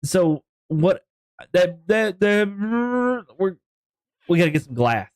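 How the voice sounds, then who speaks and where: A man's voice: high-pitched, big pitch swings, slow, no room sound. A man with a Texan accent chatting to his viewers on a live gaming stream, rambling and joking as he plays. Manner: rambling, jokey, talks to chat.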